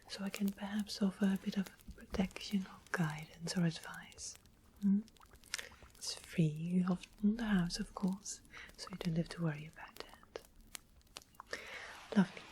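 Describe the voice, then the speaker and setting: A woman playing a sweet, mysterious witch shopkeeper in a whispered ASMR fantasy roleplay, breathy, deliberate and coaxing. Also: mid-range voice, dark tone, a little hiss or hum, natural voice, low-quality recording. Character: sweet-smiling, secretly menacing witch shopkeeper, soothing and mysterious.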